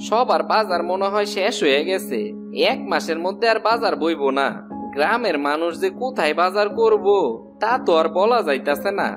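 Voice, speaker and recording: A man's voice: high-pitched, animated, moderate pace, over music. A man playing a gloomy, matter-of-fact villager in a cartoon, plain-spoken and resigned, with a rural eastern Bengali accent. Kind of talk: animated cartoon dialogue.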